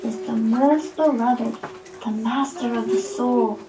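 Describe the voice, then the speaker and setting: A woman voicing a devout young boy prince in a puppet show, speaking gently and earnestly in measured phrases. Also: on the high side. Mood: serene, earnest. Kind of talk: scripted puppet show recitation. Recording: echoey, distant, music behind.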